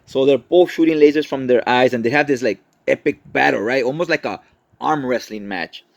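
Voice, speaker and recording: A man hosts a casual story recap in an ordinary, medium-pitched voice. He talks conversationally and informally, adding his own commentary. The sound is dry and close, with no room to it. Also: fairly quick, creaky, no echo. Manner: informal, conversational, checks in with "right?". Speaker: host, comic book recapper.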